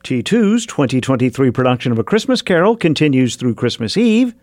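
A man's voice: medium pitch, very animated, a little fast, a little mellow, dry recording, clean studio sound. A man hosting a radio show, presenting from a script.

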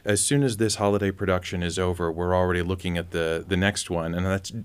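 A man's voice: low voice, steady tone, fast talker, clear, resonant tone. A man hosting an interview in a conversational style, putting questions to guests. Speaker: radio interview host.

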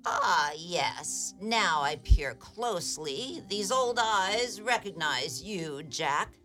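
Woman acting a slow, low-voiced old ogress. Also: animated, bright, forceful tone, soft background music, exaggerated voice. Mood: sly, menacing. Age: older. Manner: deliberate, archaic storybook diction. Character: wizened old ogre's wife, sly, menacing, grudgingly soft-hearted. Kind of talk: theatrical radio play acting.

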